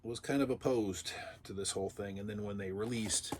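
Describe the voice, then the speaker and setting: A man with a low, gravelly voice, thick with congestion because he is under the weather. He rambles casually through an unboxing and drops dry little asides. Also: moderate pace, background noise. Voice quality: raspy. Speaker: vlog host.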